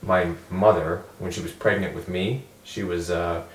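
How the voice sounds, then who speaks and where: A man's voice: low voice, flat delivery, normal speed. A man earnestly sharing a personal story with a men's group. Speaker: group member. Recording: roomy, distant mic, faint noise.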